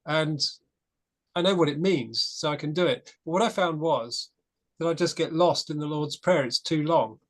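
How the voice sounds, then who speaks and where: A man's voice: fairly high pitch, moderate pace. A man in a casual church discussion group, talking frankly and telling a story with restarts.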